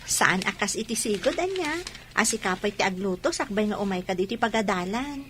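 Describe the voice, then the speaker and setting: A woman's voice: mid-range voice, animated, brisk, fairly bright tone, a little hiss or hum, lightly acted. A woman with an Ilocano Filipino accent playing a friendly, caring young woman in an audio drama. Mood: teasing, caring. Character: friendly, caring young woman named Sally, respectful and teasing. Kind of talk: amateur audio drama acting.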